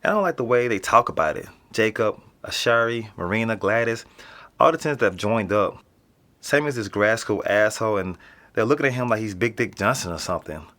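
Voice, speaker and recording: A wary young tenant with a low, swinging voice. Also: male voice, animated, a little fast, sharp tone. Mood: uneasy. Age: young adult. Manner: casual, swears, uneasy. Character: young male lifelong tenant, wary and blunt. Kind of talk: audio drama acting.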